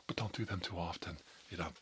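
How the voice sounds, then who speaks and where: Low-pitched deadpan man giving mock ASMR tutorial. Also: slight background noise. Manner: slow, deliberate, deadpan mock-doctor delivery. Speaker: ASMR video host.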